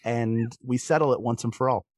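A man's voice: medium pitch, steady tone, a little fast, a little mellow, no echo. Man chatting casually, dry close sound. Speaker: podcast guest. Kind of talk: casual podcast conversation.

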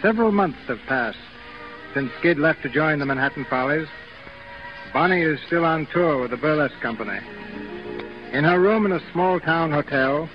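Highish-voiced male 1930s radio narrator. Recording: muffled 1930s radio broadcast.

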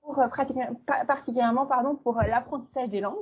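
Chatty female teacher guest, husky voice, lo-fi remote audio. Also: high voice, fairly even intonation, fast, a little mellow. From eastern France. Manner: chatty, many fillers and restarts. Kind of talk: casual interview talk.

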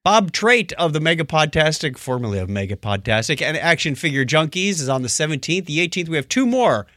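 Lively, higher-pitched male podcast host. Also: a little fast, no echo, clean studio sound. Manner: playful, theatrical announcing. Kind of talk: casual comic banter and announcements.

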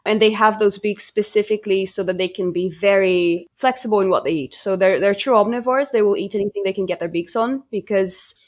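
A woman's voice: mid-range voice, brisk, few pauses. A young woman from Brazil, a native Portuguese speaker, talking casually about her research as a podcast guest, with frequent ums and restarts. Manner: chatty, many ums and restarts. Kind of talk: podcast interview, casual storytelling.

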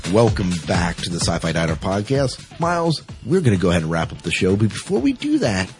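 A man with a husky voice hosting a podcast in a casual, chatty style. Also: lowish voice, wildly swooping pitch, brisk, over music. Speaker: podcast host.

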